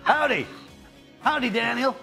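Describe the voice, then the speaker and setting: A man hamming up a cowboy twang, pitched well above his own voice and swooping loudly. It is a playful, teasing put-on, with light music under it. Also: expressive, unhurried, full character voice. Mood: jokey. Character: man's exaggerated Texan drawl, jokey. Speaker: studio pundit.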